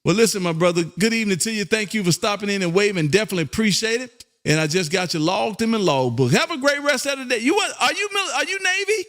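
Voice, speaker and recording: A high-pitched American man hosts live ham radio contacts. He talks in a folksy, exuberant style and likes to repeat a phrase to drive it home. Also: quick pace, a bit bright. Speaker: amateur radio host and operator.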